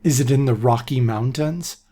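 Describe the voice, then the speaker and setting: A man's voice: mid-range voice, moderate pace, warm tone, close to an everyday voice. A man voicing an irritable young man who speaks curtly and sarcastically. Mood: impatient. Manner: curt and sarcastic.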